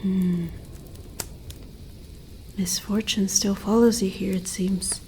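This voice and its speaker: A woman voicing a calm, kindly winter spirit in roleplay ASMR, speaking softly in formal, old-fashioned phrasing. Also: medium pitch, slight background noise, close to an everyday voice. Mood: quiet, pensive. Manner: formal, archaic, measured phrasing. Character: calm, kindly female winter spirit guarding her snowy mountain land.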